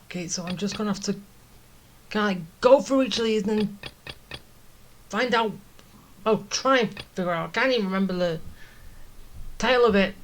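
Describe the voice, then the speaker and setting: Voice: medium pitch, normal speed, pauses often. A video game player giving casual commentary, hesitant, repeating words and muttering to themselves. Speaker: gaming streamer, player.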